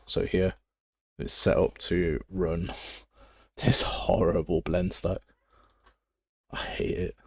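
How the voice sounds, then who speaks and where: A man with a very deep voice and an English Midlands accent, chatting casually and bluntly as he thinks aloud through a live game development tutorial. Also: no room sound.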